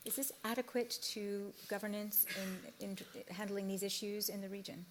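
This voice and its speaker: A woman moderating a panel discussion on a conference stage, asking measured, probing questions. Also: medium pitch, steady tone, noisy background.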